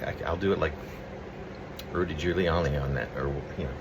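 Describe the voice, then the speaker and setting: A man speaking as a poet sharing his own work, in a rambling, halting, conversational manner. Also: a bass voice, creaky, lo-fi sound, noisy background. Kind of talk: casual preamble and poetry reading.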